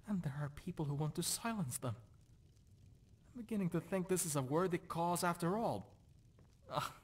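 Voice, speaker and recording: A man's voice: on the high side, fairly bright tone. An actor playing a gloomy, disillusioned nobleman in a fantasy video game, brooding and questioning himself. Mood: stirred, wondering. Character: dour, disillusioned Nordic nobleman doubting his purpose. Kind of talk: video game character dialogue.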